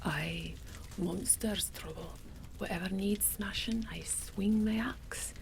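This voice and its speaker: A woman voicing a gruff Scottish male warrior in a whisper with a Scottish accent. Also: medium pitch, slow pace, background noise.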